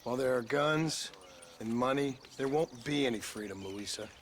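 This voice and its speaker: An actor playing a world-weary American gunslinger in a western video game, blunt and sardonic, with an American accent. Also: male voice, mid-range voice, normal speed, slight background noise, close to an everyday voice. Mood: weary, resigned.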